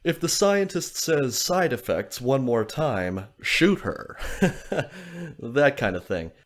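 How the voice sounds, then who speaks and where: A man's voice: mid-range voice, sing-song, takes it slowly. An actor playing an affable, chatty man who works in health science, with an informal, chuckling manner. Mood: chatty, slightly uneasy. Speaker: actor in an audio drama. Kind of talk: naturalistic audio drama acting.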